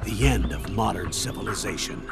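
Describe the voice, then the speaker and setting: An actor playing a grave, analytical strategist in a video game cutscene, explaining in a measured, solemn way. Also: male voice, on the low side, expressive, gravelly. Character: grave, analytical male strategist briefing a soldier. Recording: loud music behind.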